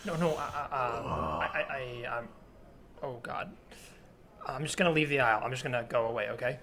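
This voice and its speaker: A man improvising a flustered, apologetic shopper in a comedy scene, stammering and halting. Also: mid-range voice, pushed and bright, slight background noise. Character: flustered, apologetic shopper backing away awkwardly.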